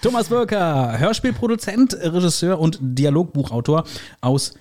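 A man's voice: fairly high pitch, lively intonation, normal speed, darkish tone. A man hosting a podcast in a conversational style. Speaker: podcast host.

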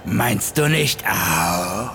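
An actor playing a sinister, mockingly evil inner voice bent on revenge in an audio drama, with a gravelly voice. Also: male voice, on the low side, animated, extremely slow, bright, forceful tone, a little hiss or hum, exaggerated voice. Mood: sly, calm. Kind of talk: German audio drama acting.

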